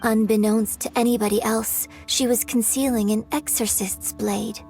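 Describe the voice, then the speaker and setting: An actress voicing a young woman opera singer, telling a legend in measured, poetic narration in a video game. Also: a little high, fairly even intonation, over music, close to an everyday voice. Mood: storytelling.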